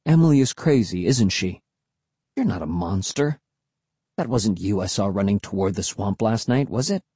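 A man voicing an excitable boy in a storybook, loudly and indignantly defending his dog. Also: medium pitch, brisk, breathy edge, no echo. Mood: tender. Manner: loud, indignant protests.

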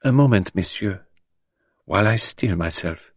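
A man's voice: lowish voice, animated, a little slow, no room sound, studio quality. A man voicing a dignified, grieving middle-aged French widow who speaks in a composed, formal, restrained way.

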